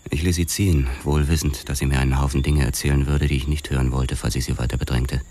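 An actor playing a brooding, terse young police detective who narrates a noir crime drama in a measured, sombre way. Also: male voice, extremely low pitch, flat delivery, warm tone, slight background noise. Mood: reflective. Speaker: first-person narrator.

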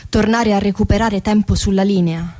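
A woman's voice: mid-range voice, fairly even intonation, normal speed, a little mellow, close to an everyday voice. Actress performing female factory worker, weary, radio theatre monologue, short clipped phrasing, first-person storytelling. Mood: tense, wry. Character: weary female car factory assembly-line worker telling her story.

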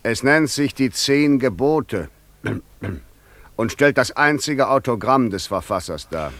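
An actor playing a sly, servile secretary with insinuating, ironic politeness in a German radio play. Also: male voice, medium pitch, lively intonation, slow, fairly bright tone, no room sound.

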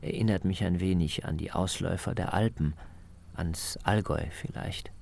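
Male documentary narrator, low register with some fry, measured first-person storytelling. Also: a little mellow. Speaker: radio feature author and narrator.

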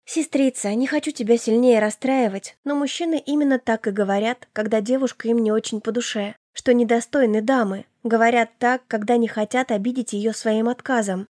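A woman's voice: fairly high pitch, steady tone, fairly quick, few pauses, a little mellow, dry recording, studio quality, lightly acted. A woman voicing a caring, protective elder sister in her twenties in an audiobook, firm, reassuring and motherly. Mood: gently firm. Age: 20s. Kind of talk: audiobook character dialogue.

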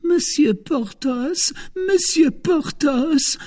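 A man's voice: very high-pitched, animated, very slow, a little mellow, voice pushed up, full character voice. A man voicing a flustered, anxious middle-class wife in an audiobook novel, pleading and apologetic. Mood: desperate pleading.

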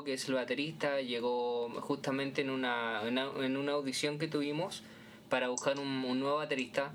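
Casting: man, band singer being interviewed, medium pitch, informal, repetitive searching phrasing, faint noise. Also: a bit bright. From Chile. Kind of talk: casual interview chat.

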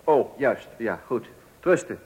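A man's voice: medium pitch, expressive, takes it slowly, a little mellow, poor audio. A man playing a flustered, dithering husband in a comic radio play, hesitant, stammering and repeating himself. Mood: flustered, anxious. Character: flustered, dithering husband anxious about running the household.

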